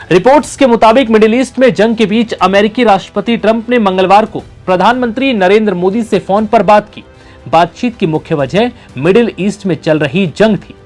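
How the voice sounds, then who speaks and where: A man's voice: high-pitched, darkish tone. A man reading scripted news narration as a presenter. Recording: music bed under the voice. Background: background music.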